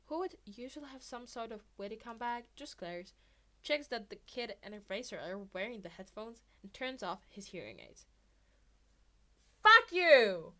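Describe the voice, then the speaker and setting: A woman reading fan fiction aloud as a narrator. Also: medium pitch. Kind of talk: story narration.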